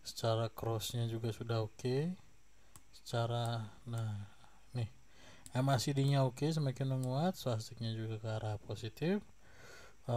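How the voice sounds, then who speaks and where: A man hosting a stock channel, casually walking through charts with many fillers and a slight cough. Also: medium pitch. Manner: relaxed, many fillers and tag questions. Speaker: stock market channel host.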